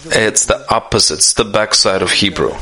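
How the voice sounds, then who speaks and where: A man giving a live spoken interpretation of a question-and-answer session, halting often with restarts and fillers. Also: on the low side, pushed and bright, rough recording.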